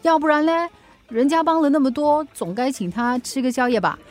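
嘴硬心软、语调起伏大的高音年轻女快递员. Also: female voice, high-pitched, animated, soft background music. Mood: matter-of-fact, exasperated. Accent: Singaporean. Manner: brusque, teasing, quick retorts. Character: spirited young woman courier, prickly but kind-hearted. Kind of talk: radio drama dialogue.

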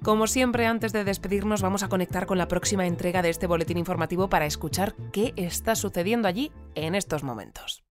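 An actress with a Spain Spanish accent playing a polite, composed news presenter in an audio fiction. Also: female voice, fairly low pitch, brisk, soft background music, lightly acted. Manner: polite, clear presenter delivery. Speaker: news presenter and debate moderator. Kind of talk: scripted newscast in audio fiction.

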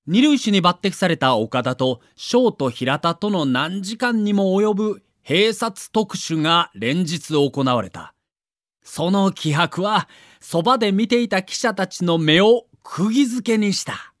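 A man's voice: sits high, lively intonation, unhurried, no echo, clean studio sound. A man giving dramatic scripted narration for an audio documentary.

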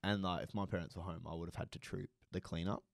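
A young man on a podcast, telling loose, profane stories in a fairly low voice. The sound is close and dry, with no room around it. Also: quick pace, gravelly, no room sound. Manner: crude, swearing, animated storytelling. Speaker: podcast co-host. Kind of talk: casual chat.

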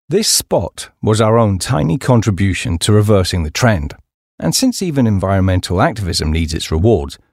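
A man with a fairly low voice narrates a humorous memoir for an audiobook. His delivery is measured and articulate, with lively movement in pitch. The recording is dry and close, free of room sound. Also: no echo.

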